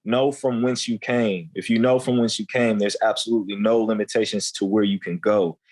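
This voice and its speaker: A man's voice: fairly low pitch, fairly even intonation, fairly quick, a little mellow. A young man in his twenties, a guest telling candid stories in loose, slangy conversation, often saying "you know what I'm saying.". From United States.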